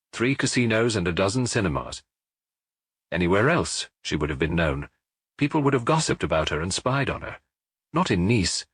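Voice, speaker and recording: Husky, lively-voiced male audiobook narrator. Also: mid-range voice, no echo.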